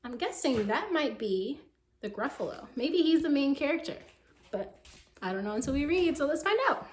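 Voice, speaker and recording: A woman teacher reading a picture book aloud to children, expressive and encouraging, asking her young listeners questions. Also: high voice, moderate pace. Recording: roomy echo with faint background noise.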